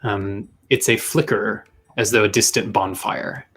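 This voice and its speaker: A man with a low-pitched voice runs a tabletop game as game master. He describes scenes in detail, feeling his way through the wording, with music playing behind him. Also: steady tone, a little slow, a bit bright, breathy edge. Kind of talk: improvised tabletop game narration.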